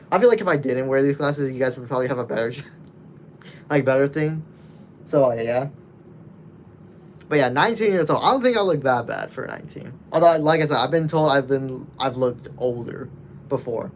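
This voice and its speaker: A man's voice: on the high side, slight background noise. A nineteen-year-old man casually chatting with his livestream viewers, rambling loosely with many restarts.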